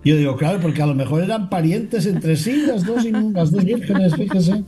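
Un sacerdote que conduce un programa de radio y cuenta anécdotas con humor y risas ligeras. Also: male voice, high voice, normal speed, over music. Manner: jovial, chuckles at his own anecdotes. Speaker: priest and radio host. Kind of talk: relaxed storytelling on a call-in radio show.

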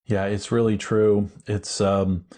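A man co-hosting a podcast, responding in an enthusiastic, animated way. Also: on the low side, takes it slowly. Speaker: podcast co-host. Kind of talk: casual discussion.

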